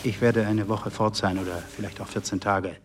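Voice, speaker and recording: Actor as a low, distant, taciturn husband. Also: male voice, fairly even intonation, normal speed, soft background music, close to an everyday voice. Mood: stolid, guarded. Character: taciturn, stolid shopkeeper husband living as secret informer. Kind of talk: radio drama acting.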